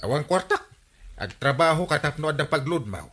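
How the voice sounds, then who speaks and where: A man's voice: fairly high pitch, sing-song, fairly quick, fairly bright tone. A man with an Ilocano accent playing an exasperated village captain who nags idle neighbors, in radio comedy drama style.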